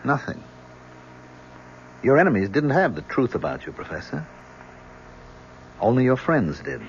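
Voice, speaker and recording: A man's voice: medium pitch, very animated, unhurried. An actor playing an embittered young man in an old-time radio drama, coolly menacing behind sardonic courtesy. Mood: bitter, accusing. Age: young adult. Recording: muffled, noisy old radio recording.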